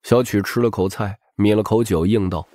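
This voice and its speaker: A man's voice: lowish voice, steady tone, normal speed, dark tone, no echo, studio quality. A man reading scripted, dramatic narration as an audiobook narrator.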